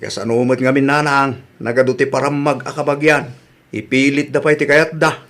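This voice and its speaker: A man's voice: medium pitch, steady tone, normal speed, fairly bright tone, lightly acted. A man playing a sympathetic grown son in a family radio drama, conversational, with an Ilocano accent. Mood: concerned.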